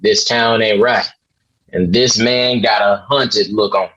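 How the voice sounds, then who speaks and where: A man's voice: on the low side, steady tone, takes it slowly, a bit bright, breathy edge, heavily acted. A man playing a solid, suspicious frontier horseman at a western table read, through a dull microphone.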